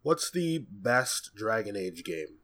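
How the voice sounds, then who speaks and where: A man's voice: fairly low pitch, big pitch swings, slow pace, a bit bright. A man co-hosting a podcast in casual, conversational chat, recorded with some noise. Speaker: podcast co-host.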